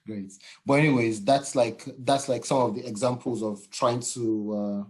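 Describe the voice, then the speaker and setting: A man's voice: mid-range voice, steady tone, darkish tone. Man hosting a chat. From Nigeria. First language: Yoruba. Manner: halting, many fillers and restarts. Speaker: podcast host. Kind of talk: casual interview chat.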